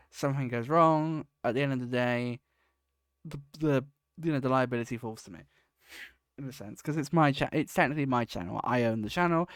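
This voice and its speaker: Man, podcast host, rambling self-correcting talk, smooth clear voice, dry close sound. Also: medium pitch, dry recording. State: sniffly. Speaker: podcast host and project lead. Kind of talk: casual solo podcast talk.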